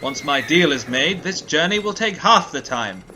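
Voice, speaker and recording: A man's voice: fairly high pitch, lively intonation, normal speed, pushed and bright. A man playing a pompous, impatient, demanding young businessman in a western audio play. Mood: insistent. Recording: faint background noise.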